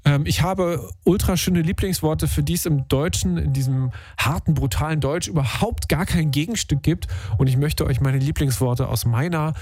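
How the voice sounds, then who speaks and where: A man's voice: on the high side, normal speed, few pauses, darkish tone, husky, no room sound. A man hosting a call-in radio show, talking in an upbeat, slangy, chatty way with frequent filler sounds, from a radio studio. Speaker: radio host. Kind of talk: casual call-in radio talk. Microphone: studio microphone.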